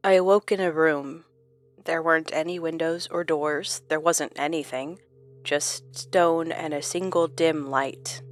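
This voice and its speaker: Casting: female actor for haunted, confused woman, horror audio drama. Lower-pitched, solemn, introspective, measured, light music underscore. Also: fairly even intonation. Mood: eerie, solemn. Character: troubled, confused woman haunted by fractured memories and identities.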